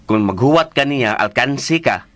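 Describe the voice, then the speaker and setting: A man's voice: medium pitch, expressive, normal speed, over music, close to an everyday voice. An actor playing a nagging, practical man of the household in a Philippine radio drama, with a Cebuano Filipino accent. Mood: nagging, teasing. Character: nagging, practical household companion urging supper.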